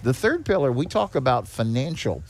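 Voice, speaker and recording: A man's voice: mid-range voice, sing-song, normal speed, gravelly. Folksy, emphatic older man explaining things. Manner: folksy, emphatic, repeats key points. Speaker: podcast guest, head of a seniors' nonprofit. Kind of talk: conversational explaining with anecdotes. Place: on location at a garage.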